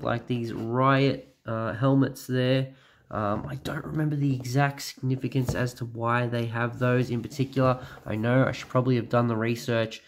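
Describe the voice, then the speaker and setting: A young man speaks with a stuffed-up, nasal voice, the sound of a head cold. His tone is gravelly and sits at an ordinary pitch. He chats in an eager, rambling way, full of ums, close to a camera in a small room at home. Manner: excited, chatty, many fillers. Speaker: toy reviewer. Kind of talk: casual review vlog.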